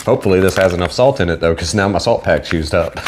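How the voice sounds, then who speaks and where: A man with a Southern American accent casually reviewing food on camera in a relaxed, rambling way. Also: low-pitched, fast talker, noisy background. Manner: laid-back, rambling, self-deprecating. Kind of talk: casual solo video review.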